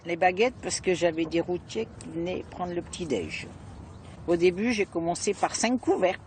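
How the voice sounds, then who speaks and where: An older woman chatting casually for a documentary report, talkative and lively. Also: fairly low pitch, moderate pace, slight background noise. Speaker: retiree being interviewed. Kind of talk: casual chat.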